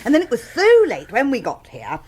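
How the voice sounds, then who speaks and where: An actress playing a blunt, jolly, bossy English sporting sister who teases in slang, in a radio comedy. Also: female voice, on the high side, huge pitch swings, a little fast, a little mellow. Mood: matter-of-fact. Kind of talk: radio comedy drama acting.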